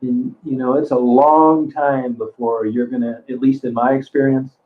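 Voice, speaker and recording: A man's voice: medium pitch, little pitch movement, normal speed, a little hiss or hum. A man in his sixties chatting casually on a livestream, rambling, cursing freely and often restarting his sentences. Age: 60s. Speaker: livestream host reading chat. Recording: phone-like narrow sound.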